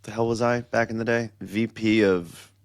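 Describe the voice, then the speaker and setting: Very deep-voiced excitable man. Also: moderate pace, no echo, clean studio sound. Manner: excitable, jokey, rambling. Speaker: podcast host. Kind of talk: casual chat.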